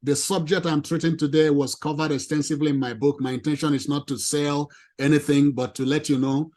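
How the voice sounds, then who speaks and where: A man with a non-native English accent giving an animated, emphatic presentation to a professional audience. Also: a little high, a little fast. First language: not English. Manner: emphatic, lively, addresses ladies and gentlemen.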